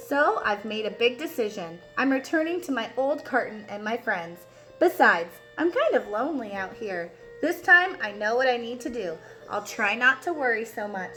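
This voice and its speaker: Woman reading as an earnest, bright-voiced storybook egg. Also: fairly high pitch. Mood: hopeful. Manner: expressive, emphatic storytelling for young children. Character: earnest, eager-to-please egg narrating a children's storybook. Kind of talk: picture book read-aloud.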